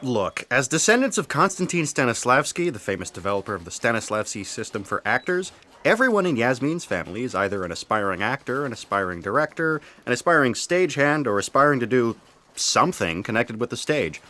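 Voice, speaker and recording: Man as an officious office underling, lively swooping pitch, dry, expository, theatrical, audio drama. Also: brisk. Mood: officious, explaining. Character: explaining male junior editor, dryly officious. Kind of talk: sci-fi audio theatre acting.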